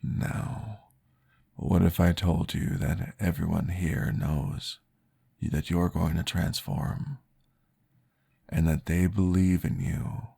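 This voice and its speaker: A man playing a calm, courteous vampire therapist in an ASMR roleplay, guiding gently and formally in a breathy, gravelly voice. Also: low voice, exaggerated voice. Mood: soothing, reassuring. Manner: slow, gentle, formal, soothing guidance.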